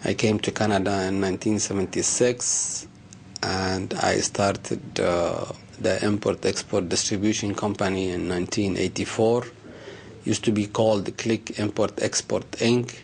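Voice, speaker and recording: A middle-aged man from Lebanon talks in a measured, gravelly low voice, pausing on fillers. Faint background noise runs under him. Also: steady tone, darkish tone. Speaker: company head in a testimonial. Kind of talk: interview testimony.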